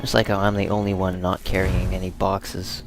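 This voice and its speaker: A man's voice: low voice, monotone, normal speed. A man giving casual, self-deprecating commentary over a video game. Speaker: let's play host. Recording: music behind the voice. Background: video game music.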